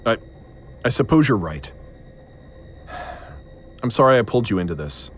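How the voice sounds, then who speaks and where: A man's voice: fairly low pitch, sing-song, normal speed, a little hiss or hum. A man playing a disoriented, troubled occult investigator in an audio drama, speaking in a blunt, exasperated manner. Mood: apologetic.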